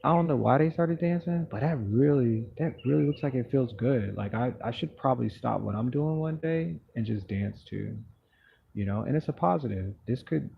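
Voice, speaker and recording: Relaxed monotone man with a gravelly voice. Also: mid-range voice, narrow, tinny audio, a little hiss or hum. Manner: slow, calm, monotone. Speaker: live streamer drawing. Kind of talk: relaxed motivational chat while drawing. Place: outdoors.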